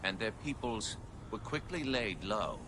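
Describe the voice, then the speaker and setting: An actor voicing a solemn alien chronicler, narrating an empire's history in a formal, grandiose, measured way for a video game cutscene. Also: male voice, on the low side, animated, noisy background. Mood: grave, ominous. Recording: loud music under the voice. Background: loud cinematic music.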